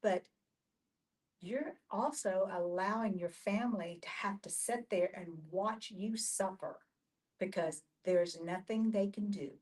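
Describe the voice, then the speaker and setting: A woman's voice: mid-range voice, measured pace, darkish tone. A woman speaking earnestly as a participant in a group discussion.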